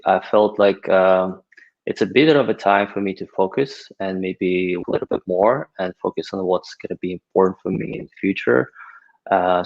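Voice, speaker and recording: Man, thirties, low gravelly voice, dull lo-fi sound. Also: a little mellow. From Ukraine. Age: 30s. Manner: hesitant, many filler words. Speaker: podcast guest. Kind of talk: casual interview answers. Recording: dull lo-fi audio.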